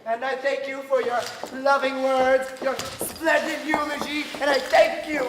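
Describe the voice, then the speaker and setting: A man acts out a gullible, fussy old father who fakes his own death to test his family. His voice sits very high and carries theatrically. It rings through a very echoey hall, heard from far off with clear background noise. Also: little pitch movement, measured pace. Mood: wounded sarcasm. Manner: theatrical, projecting to an audience. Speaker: stage actor. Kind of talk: stage play performance.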